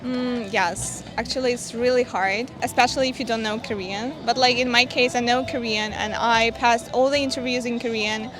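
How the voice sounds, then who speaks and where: A woman's voice: fairly high pitch, fairly even intonation, moderate pace, hardly any pauses, music in the background, low-quality recording. A young woman from Ukraine answering a street interview in a chatty way, with many "like" fillers.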